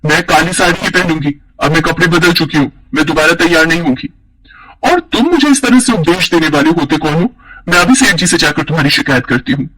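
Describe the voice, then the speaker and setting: A woman's voice: deep voice, fairly quick, fairly bright tone, rough recording. A woman voicing a haughty, short-tempered merchant's wife in a cartoon story, with a scolding, bossy manner. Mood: annoyed. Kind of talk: Hindi cartoon story dialogue.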